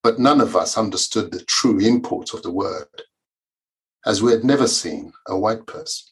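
A man, a Yoruba speaker, reading his own memoir aloud in a measured, formal way. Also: on the low side. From Nigeria. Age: 50s. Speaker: author and lawyer.

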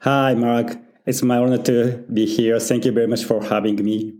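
A middle-aged Japanese man with a Japanese accent, a pastor answering interview questions in halting English full of pauses and small laughs. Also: medium pitch, flat delivery, dark tone. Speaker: church founder and senior pastor.

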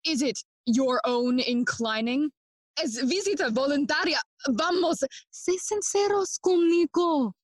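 A slow, sardonic young prince Hamlet. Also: female voice, sits high, lively intonation, dry recording, studio quality. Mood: probing. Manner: theatrical, mocking, switches between English and Spanish. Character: Prince Hamlet, young man, sardonic, melancholy, feigning madness. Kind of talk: classical Shakespearean stage acting for audio play.

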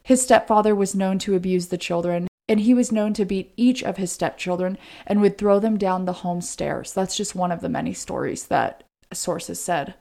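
A woman's voice: lowish voice, moderate pace, vocal fry, hardly any pauses, dark tone. Conversational woman telling true crime stories. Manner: informal, chatty asides amid storytelling. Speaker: podcast co-host.

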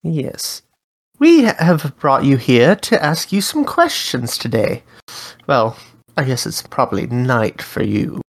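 A curious dream host, played by a woman with a low voice. She questions her guests in a friendly, measured way. Her pitch moves around a lot. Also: very animated, full character voice. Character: Rue, a dream-summoning interviewer. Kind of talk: tabletop character interview.

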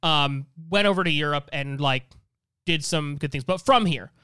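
Bright clear guy cohosting a chat show, higher voice, thinks out loud, dry close sound. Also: moderate pace, no echo. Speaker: podcast panelist and co-host. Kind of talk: casual chat, making draft picks.

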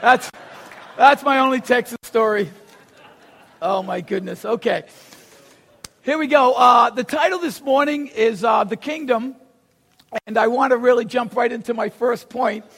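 Man, boston accent, preacher, very high voice, husky, long hall reverb. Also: a little slow, long pauses. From Boston, USA. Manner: animated storyteller, acts out gasps and quotes, shouts for emphasis. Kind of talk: sermon.

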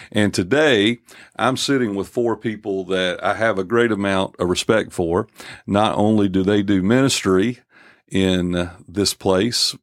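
A man in his fifties hosts a church podcast in an earnest, unhurried way. His voice sits very low with a gravelly edge. The sound is close and dry. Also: dry recording. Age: 50s. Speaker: podcast host. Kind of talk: casual church podcast talk.

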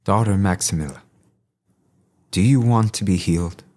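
Man acting low-voiced, devout apostle. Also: normal speed, mellow, natural voice. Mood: calm, commanding. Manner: solemn, fervent. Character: devout, humble apostle, earnest healer and missionary. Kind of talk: audio drama acting. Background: music.

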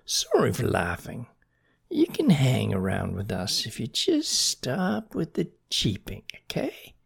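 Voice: mid-range voice, wildly swooping pitch, takes it slowly, vocal fry, husky, heavily acted. A storyteller voicing a cocky young male frog with a blunt, casual manner in a children's story. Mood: friendly, casual.

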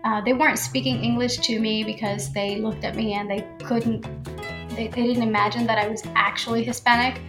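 A woman's voice: a little high, flat delivery, a little fast. A woman speaking as a podcast guest in a casual interview clip, hesitant and using fillers. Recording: roomy clip with music over it.

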